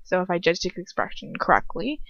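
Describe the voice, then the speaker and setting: A woman's voice: on the low side, sing-song, brisk, gravelly, fairly bright tone, dry recording. A woman reads a story aloud like an amateur at home. Her delivery is casual and stumbling, slipping into self-deprecating chat. The sound is dull and lo-fi, dry with little room around it. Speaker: amateur fan fiction reader. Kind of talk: casual read-aloud with chatty asides.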